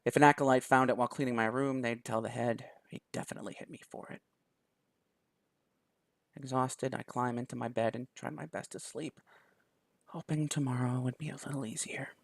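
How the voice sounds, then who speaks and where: A man quietly reading a visual novel aloud in first person, soft-spoken. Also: mid-range voice, steady tone, brisk, vocal fry, no room sound. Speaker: video game YouTuber.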